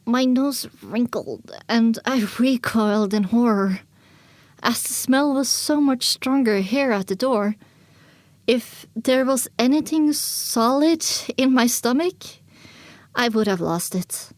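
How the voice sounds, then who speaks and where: High-voiced woman narrating, very dry sound. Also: slow pace, a little mellow, no room sound. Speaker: audiobook narrator. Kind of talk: first-person scripted story narration.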